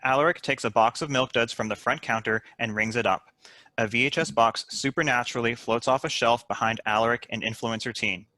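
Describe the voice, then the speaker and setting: A man's voice: medium pitch, sharp tone, no echo. A man reading out stage directions as scripted narration for a screenplay table read.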